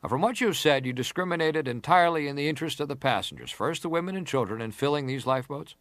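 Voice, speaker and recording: A man's mid-pitched voice with very lively intonation, recorded dry. a probing, insistent senator at a dramatized hearing. He presses each question in formal, interrogating phrases. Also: fast, no room sound. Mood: pressing. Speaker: actor reading testimony. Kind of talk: dramatized reading of hearing testimony.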